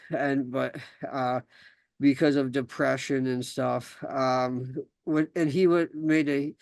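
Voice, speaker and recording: A man's voice: mid-range voice, a little mellow, clean tone, dry recording. A young man from South Dakota hosting a podcast, talking casually with many fillers and restarts, then reading his own story aloud. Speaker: podcast host.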